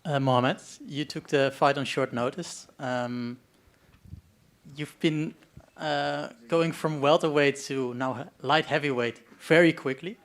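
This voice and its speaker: A man putting questions to fighters at a press conference, pausing hesitantly as he goes. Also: a little high, fairly even intonation, a bit bright.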